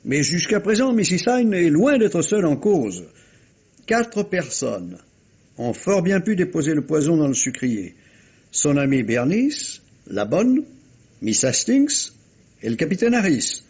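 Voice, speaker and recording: A man's voice: a little high, big pitch swings, measured pace, a little mellow, husky, full character voice. A man playing a patient, methodical police inspector in 1950s French radio mystery style, probing and sometimes hesitant. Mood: cautious, explanatory. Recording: muffled vintage radio drama recording.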